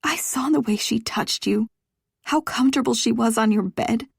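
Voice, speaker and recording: A woman reading aloud, voicing a defiant, guarded young woman in a sharp, raised voice. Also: fairly high pitch, moderate pace, gravelly, husky, dry recording, clean studio sound, pitched above the performer's own voice. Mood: accusing.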